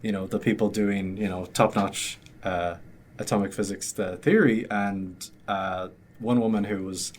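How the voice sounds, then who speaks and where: A man's voice: on the low side, steady tone, unhurried, a little hiss or hum. A young Irish man in his twenties chatting casually as an interview guest, rambling with lots of fillers. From Ireland. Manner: rambling, many fillers and restarts. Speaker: graduate student guest.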